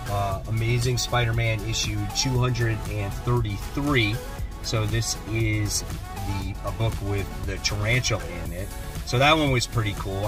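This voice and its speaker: A man in his forties hosting a casual vlog from a parked car, chatty and relaxed, filmed on a phone at arm's length. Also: lowish voice. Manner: relaxed, chatty, enthusiastic about his finds. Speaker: comic book channel host. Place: parked car, then outdoors and inside a shop. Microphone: phone camera at arm's length.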